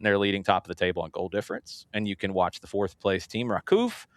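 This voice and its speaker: A man's voice: low-pitched, fairly quick, no room sound. A man hosting a sports show, talking casually through soccer match previews and steering the discussion.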